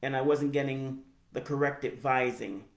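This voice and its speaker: An American man in his forties with a speech impediment, giving a personal reflective narration in halting, deliberate phrases. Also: medium pitch, fairly even intonation, creaky. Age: 40s. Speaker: higher education professional telling own story. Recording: roomy, echoey sound.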